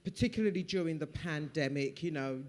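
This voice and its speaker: A man's voice: a little high, a little fast. Audience man asking a question in a hall. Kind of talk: question from the floor. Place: event hall. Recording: long reverb of a hall.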